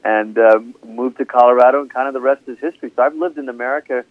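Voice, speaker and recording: A man's voice: mid-range voice, quick pace, dry recording. A man in his forties from Malaysia, a guest pastor talking earnestly in an interview, often restarting his sentences. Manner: earnest, preacherly, with frequent restarts. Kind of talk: conversational interview. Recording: tinny phone line.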